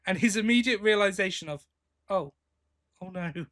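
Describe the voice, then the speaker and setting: A man's voice: sits high, moderate pace, a bit bright. A man co-hosting a podcast, chatting casually and giving opinions with enthusiasm and frequent restarts. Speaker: podcast co-host. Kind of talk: casual chat reviewing audio drama.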